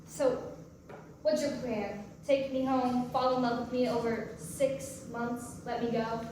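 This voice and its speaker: High-voiced actress as a teasing woman, echoey stage. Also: fairly even intonation, slow pace. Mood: challenging. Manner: probing, playfully defensive questioning. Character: chatty divorced mother of two on a date, guarded, teasing. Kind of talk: staged play reading, naturalistic dialogue. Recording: distant mic in roomy echo, hissy noise.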